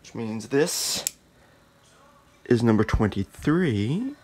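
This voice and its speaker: A man showing his handmade flower sculptures, muttering slowly and now and then breaking into excited exclaims, sometimes whispering, filmed in a dark blacklit room. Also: medium pitch, breathy edge. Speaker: artist showing his work. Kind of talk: casual off-the-cuff show-and-tell, partly whispered.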